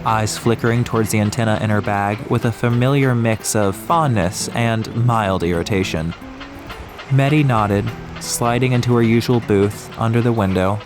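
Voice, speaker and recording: A man's voice: lowish voice, normal speed. A man narrating an atmospheric audiobook story from a script. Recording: music behind the voice.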